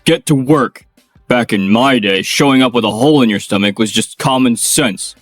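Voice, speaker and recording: A man voicing a bullying middle-aged company president in a manga dub, with a gruff, contemptuous manner and a lower, rougher voice than his own. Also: normal speed, no echo, soft background music, natural voice. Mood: scornful.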